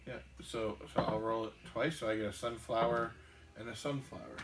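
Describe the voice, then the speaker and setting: A man hosting a casual board game show, explaining the rules in a halting way with many ums and restarts. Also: on the low side, fairly even intonation, reverberant, heavy background noise.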